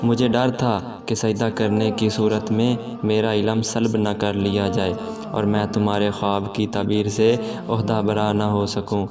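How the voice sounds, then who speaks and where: Male narrator for religious moral tales: low voice, formal and measured delivery, very level intonation. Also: monotone, over music. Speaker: storyteller, narrator. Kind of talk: scripted religious story narration in Urdu storytelling manner.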